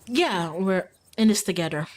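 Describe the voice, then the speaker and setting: A woman's voice: mid-range voice, lively intonation, moderate pace, natural voice. A woman voicing an upbeat, friendly and slightly ditzy young small-town woman in a visual novel.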